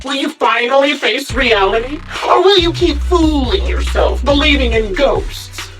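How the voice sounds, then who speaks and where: A sadistic villainess in a video game, her fairly high voice swinging widely in pitch as she mocks and threatens. She sounds contemptuous and menacing, slightly off the mic with music underneath. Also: expressive, distant mic. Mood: mocking. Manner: mocking, sneering, drawn-out threats. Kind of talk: dramatic video game voice acting.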